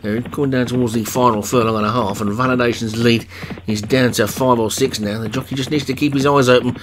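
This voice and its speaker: A man commentating a video game horse race for an online league, chatting between calls, his voice getting croaky. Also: mid-range voice, steady tone, fairly quick, noisy background.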